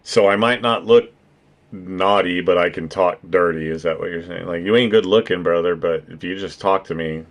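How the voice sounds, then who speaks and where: A man with a naturally deep voice hosting a casual stream chat, joking, swearing and laughing often. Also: normal speed. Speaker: streamer and podcast host.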